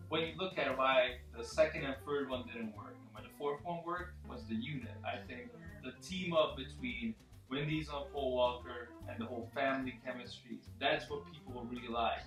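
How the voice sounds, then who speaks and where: A man's voice: mid-range voice, moderate pace, fairly bright tone, far from the mic. A man giving his opinion in a casual movie discussion, emphatic and repeating phrases for stress. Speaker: panel member in a film discussion. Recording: echoey room, off the mic, faint music.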